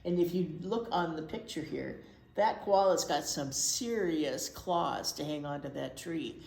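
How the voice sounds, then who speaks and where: A woman's voice: deep voice, a little slow, vocal fry, a little mellow. A woman reading a picture book aloud to young children, with simple explanations and chatty asides. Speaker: children's storytime host, librarian. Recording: echoey room with faint background noise.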